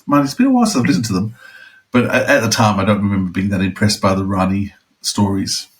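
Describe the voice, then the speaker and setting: Guy with a fairly low voice chatting on a podcast, kinda halting, working it out as he talks. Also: expressive. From outside the UK. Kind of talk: casual podcast chat.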